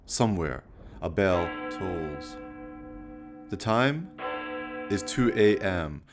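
A man playing a sinister, courtly fireside storyteller, narrating a horror radio play with slow, mock-polite, menacing theatricality. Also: low-pitched, expressive, music in the background, heavily acted. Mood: ominous, wry.

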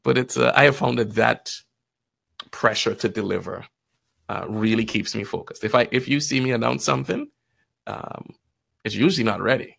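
A man telling stories casually in conversation, acting out bits of quoted dialogue in an animated manner. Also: medium pitch, moderate pace, creaky. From Guyana and Trinidad. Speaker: podcast guest, financial advisor.

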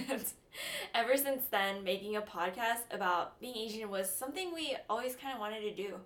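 A woman's voice: fairly high pitch, expressive, moderate pace, a bit bright. A young woman from Southern California co-hosting a casual college radio podcast, chatty and self-mocking, with giggly asides. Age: young adult. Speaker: podcast co-host.

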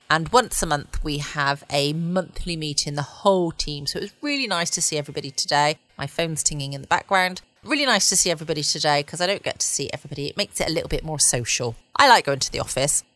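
A woman's voice: fairly low pitch, a bit bright, no room sound. A woman hosting a casual bedtime podcast, musing aloud and answering her own questions. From United Kingdom. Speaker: podcast host. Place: at home, indoors.

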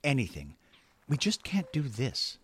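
A man's voice: medium pitch, huge pitch swings, a little slow, breathy edge, full character voice. A man acting in an audio play as a flustered, self-righteous husband, hedging and defensive. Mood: desperate, self-justifying. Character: flustered, self-righteous husband dodging responsibility, eager to appease.

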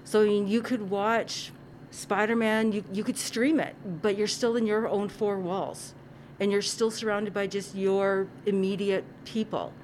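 A woman's voice: mid-range voice, level tone, a little slow, a little mellow, slight background noise. A woman who works at a cinema, chatting casually as an interviewee.

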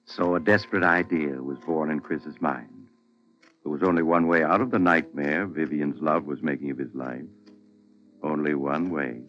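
An actor as the grave, ominous narrator of an old-time radio drama. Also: male voice, very deep voice, steady tone, moderate pace. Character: grave, ominous male storyteller. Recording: muffled vintage radio, music underneath. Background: music.

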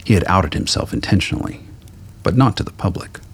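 A man reading scripted dramatic fiction as a narrator, with a gravelly, husky voice. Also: sits low, brisk.

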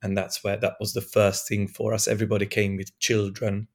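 A man from Europe, a guest on a podcast, speaking in a hesitant way with many restarts and fillers, his voice still hoarse from being ill. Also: fairly low pitch, completely flat delivery, fairly quick. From Eastern Europe. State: hoarse, recovering from lost voice. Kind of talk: casual conversation.

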